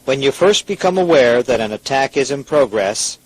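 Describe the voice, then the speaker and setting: An actor playing a stern official emergency announcer, reading scripted instructions in a formal, deliberate, authoritative way. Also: male voice, mid-range voice, animated, a little mellow, breathy edge, no room sound, rough recording.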